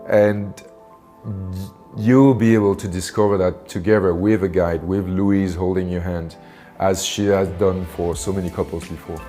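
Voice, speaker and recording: A man giving an unscripted personal testimonial in a halting way, searching for his words. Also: sits low, fairly even intonation, dark tone, faint music behind. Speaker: workshop participant giving a testimonial.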